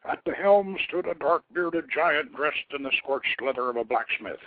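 Man, formal dramatic narration, very animated intonation, rough-edged voice. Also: fairly high pitch, normal speed, no room sound. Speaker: radio show host and author. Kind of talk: dramatic storytelling, reading his own novelette. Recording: muffled, thin phone-like radio line.

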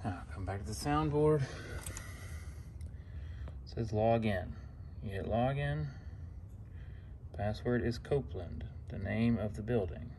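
A man's voice: fairly low pitch, animated, takes it slowly, long pauses, mellow. A man giving a casual hands-on walkthrough as an instructor, in short plain step-by-step instructions, recorded on a handheld phone in an indoor booth. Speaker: instructor demonstrating equipment. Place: auditorium control booth, indoors. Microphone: handheld phone filming the equipment. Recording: noisy handheld phone recording with room ambience.

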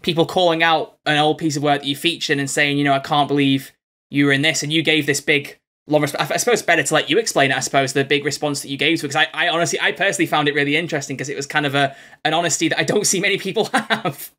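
A man's voice: a little high, steady tone, racing, pushed and bright. A young man hosting an interview in a casual, chatty style. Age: young adult. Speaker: interview host. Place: bedroom studio on a video call.

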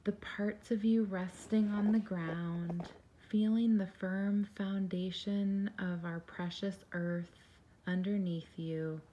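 A woman leading a guided meditation in a soft, soothing, gentle and unhurried voice, breathy at times. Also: mid-range voice, steady tone, dull audio, slight background noise.

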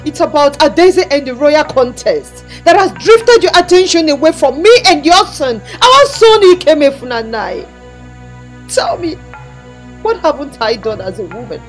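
A headstrong young woman who argues and complains. Her voice is high and lively. Soft music plays behind her. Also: moderate pace. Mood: aggrieved. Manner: defiant, complaining.

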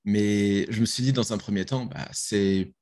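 A man's voice: on the low side, steady tone, a little slow, darkish tone. A young man from France, a guest on a video-call podcast, talking casually about his app with slang and restarts, recorded over a headset in a small bedroom. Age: young adult. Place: small bedroom with gaming chair.

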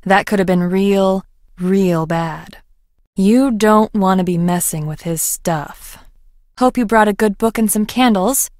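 A woman's voice: medium pitch, unhurried, darkish tone, dry recording, studio quality, natural voice. A woman acting a young female research scientist in a video game, conversational, wry and guarded. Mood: casual, friendly.

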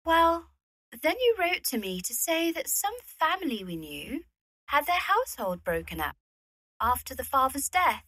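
A woman's voice: high voice, sing-song, measured pace, bright, forceful tone, dry recording, full character voice, poor audio. A woman playing a genteel, evasive young widowed lady, polite and a little hesitant, in an audio drama. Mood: feigned casual. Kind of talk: radio play acting.

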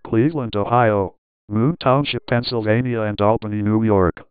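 A man's voice: on the low side, steady tone, moderate pace, clean tone, no room sound, close to an everyday voice. A synthetic male voice playing a pleasant, robotic weather radio announcer. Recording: dull lo-fi synthesizer audio.

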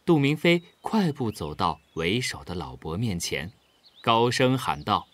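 A man's voice: on the low side, very animated, measured pace, a bit bright, no room sound. A man narrating a scripted children's story expressively.

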